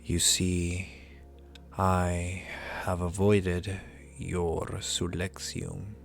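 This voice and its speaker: Ancient male dragon, performed by a man, ASMR roleplay. Extremely unhurried, even-toned, condescending yet intimate, with formal, archaic phrasing. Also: low-pitched, gravelly, full character voice. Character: ancient male dragon familiar, powerful, possessive, amused, seductive.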